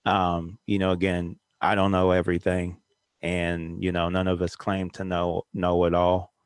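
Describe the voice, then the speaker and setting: Deep, even-toned man in casual discussion. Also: a little slow, clean tone, no room sound.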